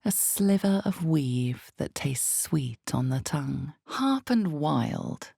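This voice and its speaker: A woman voicing the omniscient narrator of a dark fantasy game, grave and ominous, with measured delivery and dramatic pauses. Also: animated, dry recording, clean studio sound, exaggerated voice. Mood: ominous, mysterious. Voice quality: creaky, low, mellow. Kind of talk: dramatic scripted second-person fantasy narration.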